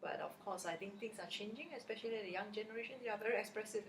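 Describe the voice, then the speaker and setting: A woman's voice: mid-range voice, racing. A woman with a Malaysian accent giving casual interview answers as a college lecturer, often chuckling between phrases. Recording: roomy echo, background noise.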